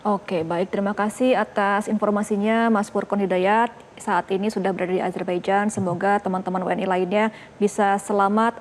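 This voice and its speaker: A woman news anchor reading the news and interviewing a guest in a formal, measured manner. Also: medium pitch, fairly even intonation, slight background noise.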